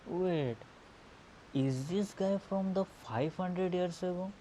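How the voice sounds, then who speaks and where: A man giving casual gameplay commentary, thinking aloud haltingly, recorded on a murky earphone microphone. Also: sits high, warm tone. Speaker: gaming video host. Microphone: earphone mic. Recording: hissy, murky earphone mic.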